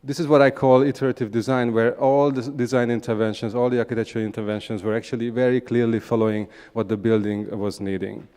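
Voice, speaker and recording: A man with a Hungarian accent presents at a conference in a large, reverberant hall. His voice is clear and smooth, sitting at an average male pitch. He talks in measured, slightly halting phrases, pausing as he builds his points. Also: level tone, warm tone. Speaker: conference speaker, urban regeneration practitioner. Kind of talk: conference presentation, semi-spontaneous.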